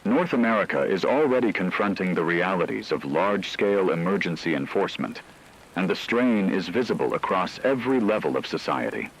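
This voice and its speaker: A man performs a solemn male news anchor in a dystopian radio drama. His voice is deep and husky, and he delivers scripted crisis bulletins in a measured, grave manner. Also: lively intonation, heavily acted, poor audio.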